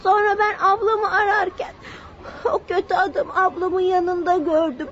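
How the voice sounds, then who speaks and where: A woman's voice: very high-pitched, little pitch movement, normal speed, fairly bright tone. A woman playing an innocent, pleading little boy in a radio drama, with childlike, whining simple phrasing. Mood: earnest, subdued. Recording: dull, faintly hissy old radio recording.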